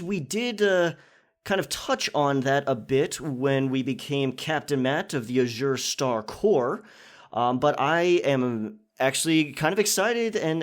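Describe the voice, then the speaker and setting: A man with a mid-pitched voice talks casually to his listeners as the host of his own audio channel. His delivery is conversational and chatty, like an informal question and answer session.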